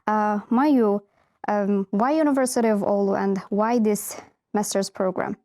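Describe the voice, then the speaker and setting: A woman's voice: mid-range voice, fairly even intonation, moderate pace, warm tone. A woman hosting an info session, politely welcoming guests and asking questions with some hesitant fillers. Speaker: host.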